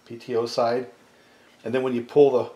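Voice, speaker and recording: A middle-aged man casually explaining repair steps at his workbench, in a relaxed, folksy way while handling tools. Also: medium pitch, moderate pace. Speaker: small engine repair channel host. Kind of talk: casual workshop demonstration. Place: cluttered home workshop at a workbench. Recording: echoey workshop with loud tool noise.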